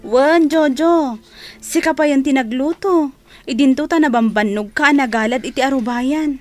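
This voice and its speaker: An actress with an Ilocano accent playing a caring young wife in a radio drama. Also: female voice, high voice, moderate pace, a little mellow, natural voice.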